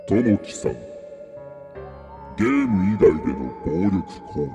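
Male actor as courteous sinister male game master, mellow low voice, formal, heavy reverb, audio drama. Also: animated, soft background music. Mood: coolly stern. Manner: formal, measured announcer-like politeness. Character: cool, polite, menacing male death-game master. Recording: long reverb on the voice.

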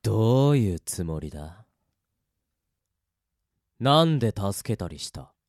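A man's voice: on the low side, very animated, slow pace, darkish tone, no echo, studio quality, heavily acted. An actor playing a flippant, taunting male killer in a death-game radio drama. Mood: sly, casual.

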